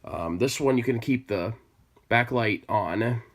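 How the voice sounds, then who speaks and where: A man's voice: mid-range voice, a little slow. A man with an American accent casually presenting items from his hobby collection, as in a home product show-and-tell.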